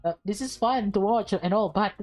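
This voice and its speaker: A woman's voice: mid-range voice, moderate pace, a little mellow, soft background music. A woman reading a visual novel aloud with casual asides, often stumbling and rereading phrases. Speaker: gaming video host, reader.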